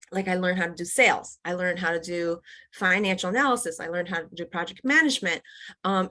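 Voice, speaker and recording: A woman's voice: fairly low pitch, sharp tone. Chatty middle-aged woman guest. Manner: chatty, many fillers and restarts, laughs often. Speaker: guest, company executive. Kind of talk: casual interview.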